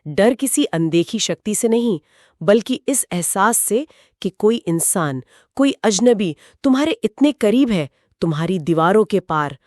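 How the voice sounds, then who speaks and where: A woman's voice: mid-range voice, lively intonation, unhurried, a little mellow, no echo, clean studio sound. A woman co-hosting a podcast, reacting to a horror story and explaining why it unsettles. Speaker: podcast co-host. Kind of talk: conversational podcast discussion.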